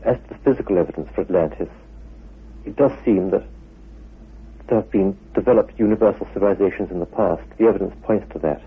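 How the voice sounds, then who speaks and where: Male scholar interviewee, rather low and very husky, thoughtful halting delivery, thin lo-fi audio. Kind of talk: unscripted interview talk. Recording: muffled phone-like interview recording.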